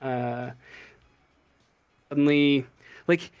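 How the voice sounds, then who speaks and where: A man narrating an improvised tabletop game as game master, halting and searching for words. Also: mid-range voice, no echo.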